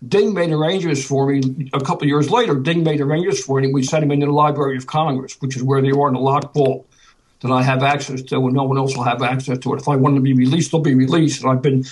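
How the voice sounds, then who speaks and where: A man with a husky voice talking casually and reflectively in conversation. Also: medium pitch, steady tone, fast, rough recording.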